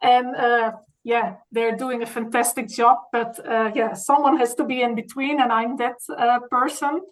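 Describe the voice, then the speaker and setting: A woman with a Dutch accent, a native Dutch speaker, answering interview questions conversationally in halting, non-native English phrasing. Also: on the high side, fairly even intonation, rough recording. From Netherlands, Friesland. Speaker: interview guest, dairy marketing salesperson.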